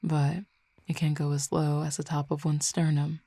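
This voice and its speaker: Woman voicing a low creaky fallen Cupid. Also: level tone, no echo, exaggerated voice. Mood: calm explaining. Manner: slow, measured, intimate, with soft whispered stretches. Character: commanding, teasing fallen Cupid, not human. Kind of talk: audio roleplay addressing the listener.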